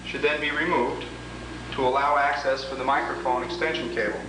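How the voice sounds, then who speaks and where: A man giving an instructional product demo, explaining each step in a deliberate, methodical way. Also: medium pitch, steady tone. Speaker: product demonstrator. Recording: roomy, echoing, noisy 1980s demo tape, distant mic.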